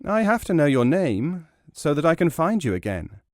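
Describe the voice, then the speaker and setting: A man's voice: on the high side, big pitch swings, moderate pace, a little mellow, dry recording, clean studio sound, voice pushed up. A man voicing a foolish, naive young man in a folk tale, earnest and pleased with his own cunning. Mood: insistent. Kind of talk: storytelling in a narrated folk tale.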